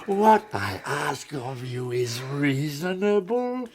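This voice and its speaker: An actor playing a lonely, tormented, hideous monster in an audiobook drama, eloquent and theatrical, moving between hushed murmurs and fervent pleas, with a gravelly voice. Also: male voice, on the high side, big pitch swings, slow, crowd noise. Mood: fervent pleading. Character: lonely, tormented, hideous male monster pleading with his maker.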